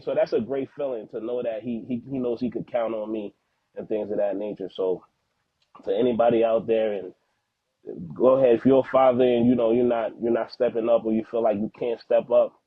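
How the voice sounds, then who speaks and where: Husky man on a phone-like line. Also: lowish voice, fairly even intonation, a little fast, no room sound. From Haitian background, living in the United States. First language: Haitian Creole. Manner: earnest, slangy, repeats words for emphasis. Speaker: podcast guest, father. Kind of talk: casual, heartfelt interview talk. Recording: phone-like narrow-band audio, dry.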